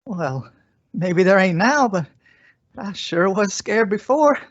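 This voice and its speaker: A woman's voice: mid-range voice, animated, slow, no room sound. A young woman playing a timid, folksy caretaker with hesitant rustic speech in an old-time radio comedy. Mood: sheepish. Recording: dull, dry recording.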